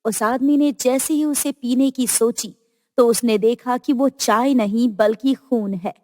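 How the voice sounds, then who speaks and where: Female horror narrator: scripted storytelling, unhurried delivery, reverberant finish. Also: a little high, a little mellow, studio quality.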